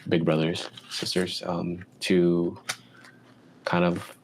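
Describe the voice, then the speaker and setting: Deep-voiced man, laid-back guest. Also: slow, a little hiss or hum, low-quality recording. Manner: relaxed, with many fillers and restarts. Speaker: music producer guest. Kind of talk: casual interview conversation.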